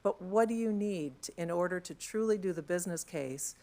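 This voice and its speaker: Low-voiced woman speaking on a conference panel. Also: fairly quick, dark tone, a little hiss or hum. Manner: chatty, many fillers and tag questions. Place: conference room. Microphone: handheld mic.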